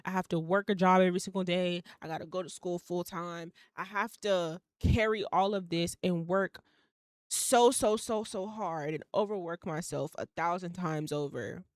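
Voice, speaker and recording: A woman's voice: lowish voice, moderate pace, no echo, studio quality. A young woman in her twenties hosting a solo podcast, rambling casually with many fillers, occasional swearing and laughs mid-sentence. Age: young adult. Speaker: podcast host.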